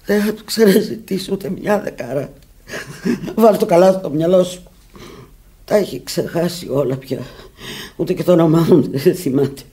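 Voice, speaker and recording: A woman's voice: fairly low pitch, long pauses, mellow, close to an everyday voice. An actress playing a sick, weary, stubborn and desperate woman in a Greek radio drama, pleading and sometimes defiant. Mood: bitter.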